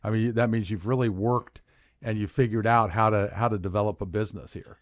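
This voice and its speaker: A man's voice: on the low side, steady tone, brisk, no echo, clean studio sound. A man co-hosting a casual interview who offers short, encouraging remarks. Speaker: interview co-host.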